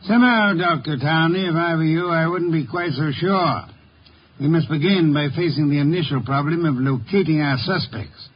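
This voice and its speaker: An actor playing a shrewd, imperious older detective in a 1950s radio drama, speaking formally and deliberately with commanding authority. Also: male voice, on the high side, slightly rough. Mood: imperious, skeptical. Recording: muffled old radio broadcast, phone-like.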